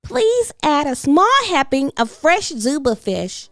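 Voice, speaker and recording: A woman's voice: high voice, big pitch swings, slow, fairly bright tone, no echo, studio quality, voice pushed up, heavily acted. A woman voicing a tiny, eager little girl who makes polite but bossy demands in a children's storybook. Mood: eager, insistent. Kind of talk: children's storybook read-aloud.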